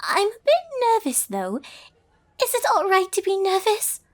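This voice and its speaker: A woman plays a nervous young lady pony in a storybook tale. Her voice is high and swoops widely, with fretful, theatrical stammering and a refined air. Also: wildly swooping pitch, a little slow, full character voice. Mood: nervous rambling.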